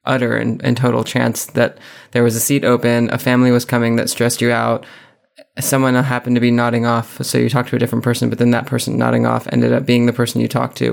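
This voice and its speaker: A man hosting a casual interview, asking questions and musing out loud. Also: medium pitch, gravelly.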